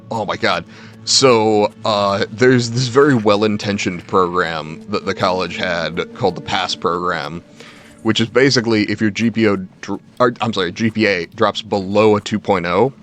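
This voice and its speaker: Low-voiced young man, casual streamer. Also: a bit bright. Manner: rambling storytelling, self-deprecating, swears casually. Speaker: game livestreamer. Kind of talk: casual chat to stream viewers. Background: faint game music.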